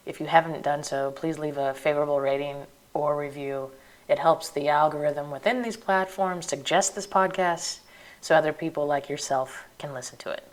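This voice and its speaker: Low-voiced woman podcast host. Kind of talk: podcast intro and interview questions.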